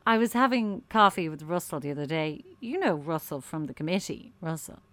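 Breezy, patronising radio producer, swooping delivery. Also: female voice, low-pitched, animated, fairly quick, fairly bright tone. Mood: gossipy, disapproving. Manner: sugary, condescending, theatrically chatty. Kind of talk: darkly comic audio drama acting.

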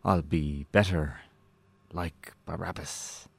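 A man's voice: very deep voice, fairly even intonation, takes it slowly, gravelly, breathy edge, no room sound, heavily acted. A man acting in a darkly comic radio drama as an earnest, hapless show contestant with a hesitant, deferential manner. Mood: meek, uncertain.

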